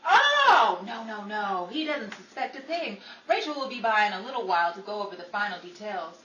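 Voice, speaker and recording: A woman playing Betty, a flustered, scatterbrained yet quick-witted woman, with theatrical exclamations in 1940s radio comedy style. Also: medium pitch, big pitch swings, bright, forceful tone, dull audio. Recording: echoey room, distant mic, faint noise.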